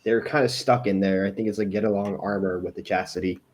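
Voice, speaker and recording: A man's voice: on the low side, steady tone, fast, a little mellow, slight background noise. A man chatting casually in a hesitant way, often repeating his words as he goes. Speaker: voice cast member. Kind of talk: casual chat.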